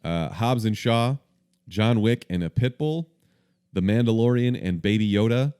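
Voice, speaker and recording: A young man hosting a casual movie podcast, brisk and a bit sweary, running the segments. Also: lowish voice, dark tone, clear, resonant tone. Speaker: podcast host.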